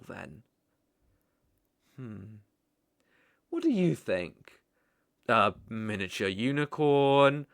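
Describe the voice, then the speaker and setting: A man's voice: medium pitch, dry recording, studio quality. A man with a British accent playing a cruel, arrogant, mocking evil sorcerer in an ASMR roleplay, speaking in a theatrical, condescending way. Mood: musing, mocking. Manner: slow, theatrical, menacing, condescending. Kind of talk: ASMR roleplay, dramatic monologue to listener.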